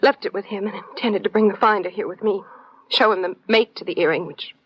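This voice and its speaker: A woman's voice: mid-range voice, brisk, slight background noise, lightly acted. A woman playing a refined, anxious society lady in an old-time radio detective drama, speaking in a genteel, dramatic way. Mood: distraught. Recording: dull, fuzzy vintage radio recording.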